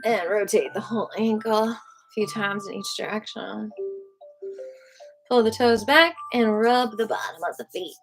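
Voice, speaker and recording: A woman guides a live qigong class in a loose, playful way, counting holds out loud and now and then dropping to a whisper. Music plays clearly underneath her voice. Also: mid-range voice, moderate pace, low-quality recording. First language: Hebrew. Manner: playful and chatty, counts aloud, drops into whispers. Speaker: qigong teacher. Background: background music.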